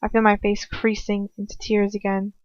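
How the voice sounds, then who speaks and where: A woman narrates a first-person story with dramatic feeling at a medium pitch. The sound is dry and a little dull, like a homemade lo-fi audiobook. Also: monotone, moderate pace, no room sound. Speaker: amateur audiobook reader. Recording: dull, lo-fi close recording.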